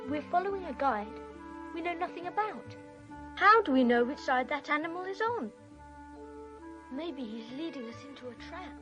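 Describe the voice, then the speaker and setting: Grumbling schoolboy, high voice, suspicious, contrary, children's audio drama, music behind. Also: female voice, animated, moderate pace, pauses often.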